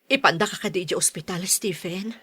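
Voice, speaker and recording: A woman's voice: on the low side, normal speed, a bit bright, breathy edge. A woman playing a caring, protective middle-aged mother and wife in an audio drama. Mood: concerned, soothing.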